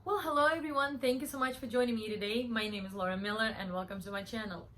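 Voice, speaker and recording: A woman's voice: a little high, fast, loud music behind the voice. A woman in her thirties from Russia, speaking English, talking earnestly to camera with many fillers and restarts. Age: 30s. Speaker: vlogger. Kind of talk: casual talk to camera.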